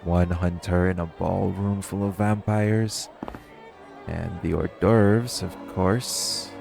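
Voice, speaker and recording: Vampire rival male actor: deep, unhurried, smugly flirtatious with a threat underneath, for intimate audio drama. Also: steady tone, vocal fry, a little mellow, faint music behind, full character voice. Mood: curious, mocking. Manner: smug, sardonic, teasing, swearing. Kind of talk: intimate audio roleplay acting.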